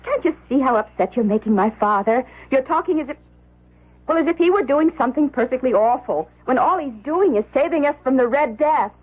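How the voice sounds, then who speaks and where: An actress playing an earnest young wife and loyal daughter, imploring in an old radio drama. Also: female voice, sits high, lively intonation, brisk, husky. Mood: pleading, reproachful. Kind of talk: 1970s radio drama acting. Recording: tinny, phone-like old radio recording.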